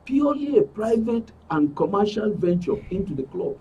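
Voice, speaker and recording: A man with a Nigerian accent and a husky voice giving an emphatic account as an interview guest, repeating phrases for stress. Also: sits high, big pitch swings, moderate pace, warm tone, distant mic, lo-fi sound. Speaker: interview guest, club member. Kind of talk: spoken account in a broadcast interview.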